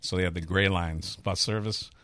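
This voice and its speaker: A man's voice: sits low, steady tone, normal speed, fairly bright tone, no room sound. A man in his fifties with a Chicago accent, a chef talking candidly and rambling through stories in a casual interview. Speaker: chef and restaurateur.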